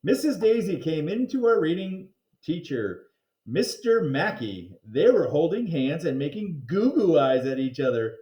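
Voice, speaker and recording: A man giving an animated read-aloud of a children's chapter book, expressive, drawing out words and throwing in interjections. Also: fairly high pitch, measured pace, highs cut off. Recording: roomy, echoing sound.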